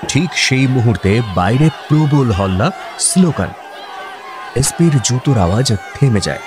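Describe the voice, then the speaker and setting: A man's voice: mid-range voice, darkish tone, lightly acted. Guy doing a wry storyteller for a radio farce, voice swings up and down, slight noise behind. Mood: wry narration. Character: wry male storyteller narrating an office farce. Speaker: narrator. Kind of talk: animated scripted narration of radio comedy. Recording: faint background noise.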